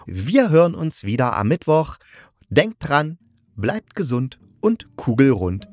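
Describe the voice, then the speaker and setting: A man's voice: medium pitch, very animated, takes it slowly, a little mellow. A man giving an informal, opinionated solo review as a podcast host, speaking conversationally and directly to his listeners.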